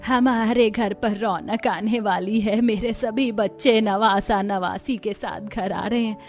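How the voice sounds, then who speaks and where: A woman's voice: mid-range voice, fairly even intonation, slow pace. Female actor as elderly village grandmother, sentimental, homely, cartoon tale, light music underneath. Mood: happy anticipation.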